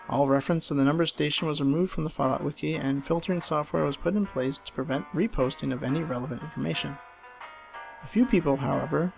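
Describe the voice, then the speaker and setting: A man reading a scripted horror story in a measured, dramatic storyteller's delivery. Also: on the high side, gravelly, rough recording. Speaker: narrator reading online horror stories. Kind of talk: scripted creepypasta narration. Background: game music under the voice.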